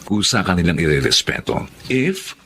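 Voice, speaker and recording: A protective Filipino big brother in a drama, frank and preachy as he advises. His low voice moves in very lively swings, with faint noise behind it. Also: normal speed, heavily acted. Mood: firm, admonishing. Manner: admonishing, explains by examples and rhetorical questions. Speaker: actor in a drama. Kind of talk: conversational Filipino drama acting with English phrases.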